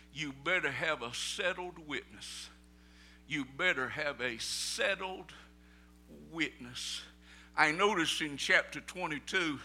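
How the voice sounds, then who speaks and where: A middle-aged Southern preacher man with a high, piercing voice. He spins folksy stories in down-home talk, then climbs into fervent shouted preaching. His tone stays sharp and bright throughout. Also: slight background noise. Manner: folksy storytelling, rising to shouted preaching peaks; Southern vernacular, "ain't no". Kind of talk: sermon.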